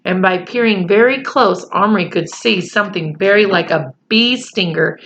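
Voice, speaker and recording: A woman reading a children's book aloud with chatty, expressive asides to young listeners. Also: medium pitch, moderate pace, a little hiss or hum. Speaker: storyteller, teacher. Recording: slightly muffled home recording.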